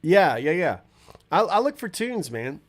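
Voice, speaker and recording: A man hosting a casual livestream, answering chat in a rambling, relaxed way with a stuffy nose and lots of fillers. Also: a little high, measured pace.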